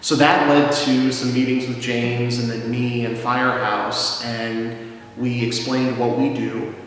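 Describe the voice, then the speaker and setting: A man's voice: medium pitch, level tone, unhurried, fairly bright tone. A young adult man, a radio show producer and writer, chatting casually in an interview with plenty of fillers. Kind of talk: relaxed interview talk. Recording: echoey hall with music behind.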